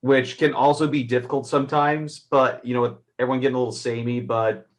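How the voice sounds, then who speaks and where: A man in a casual, unscripted movie review chat, speaking in an animated, exasperated and emphatic way. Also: mid-range voice, fairly quick. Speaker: movie reviewer, guest co-host.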